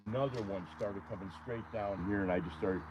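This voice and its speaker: A man's voice: on the low side, a little fast. A man outdoors on a wooded ridge, earnestly telling the story of his encounter, rambling and restarting as he goes. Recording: outdoor noise.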